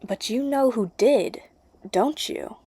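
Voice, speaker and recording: A woman's voice: a little high, slow, warm tone, breathy edge, no room sound, voice pushed up. A woman voicing a flustered, lovestruck teenage girl, hesitant and questioning, in audiobook dialogue. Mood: suspicious.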